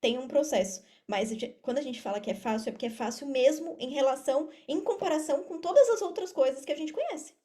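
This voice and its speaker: A woman's voice: high voice, expressive, fast, few pauses, mellow. A Brazilian woman in her thirties speaking Portuguese with a Brazilian accent, explaining things informally as an interview guest, with emphatic repetition. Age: 30s. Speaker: podcast guest, affiliate marketer and mentor. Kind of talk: conversational interview answers.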